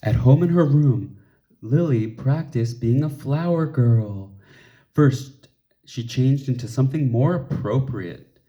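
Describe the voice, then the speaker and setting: A man reading a children's picture book aloud in an expressive storytelling way. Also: medium pitch, slow pace, muffled recording. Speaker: storybook reader.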